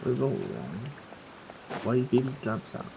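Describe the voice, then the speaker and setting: A man's voice: mid-range voice, wildly swooping pitch, measured pace, vocal fry, background noise. A man giving casual commentary as he plays through a game quest.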